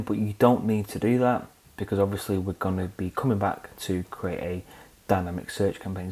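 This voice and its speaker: A young man hosting a screen-recorded software tutorial, calmly walking through each click step by step. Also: lowish voice. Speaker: tutorial host.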